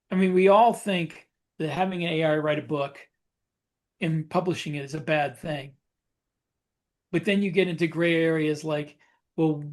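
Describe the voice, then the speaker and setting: Man in halting, explanatory podcast chat. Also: on the high side. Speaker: podcast co-host.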